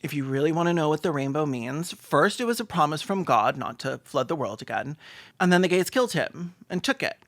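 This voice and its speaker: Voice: medium pitch, lively intonation, a little fast, fairly bright tone, no room sound. A video commentator giving sarcastic, exaggerated comedic reactions with casual swearing.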